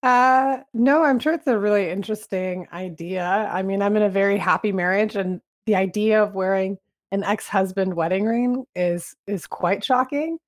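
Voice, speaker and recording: A woman's voice: medium pitch, moderate pace. A woman who runs a media relations business, whose first language was Vietnamese, answering interview questions in an easygoing way.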